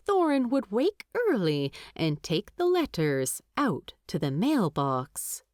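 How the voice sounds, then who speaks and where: A woman narrating a story from a script in an expressive storytelling style. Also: fairly low pitch, no echo, studio quality.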